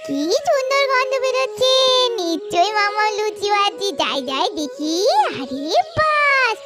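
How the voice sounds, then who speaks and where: A woman voicing a sweet, demanding little girl in a children's doll cartoon, excitable and whiny, repeating words insistently. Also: extremely high pitch, measured pace, over loud music, exaggerated voice. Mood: excited delight.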